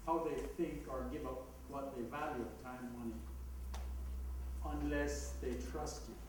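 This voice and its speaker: A man's voice: medium pitch, steady tone, a little slow, a little mellow. Jamaican man speaking in an echoey hall. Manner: conversational, laughs at his own asides. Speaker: conference speaker. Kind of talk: conference talk. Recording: echoey hall, mic heard from a distance, background noise.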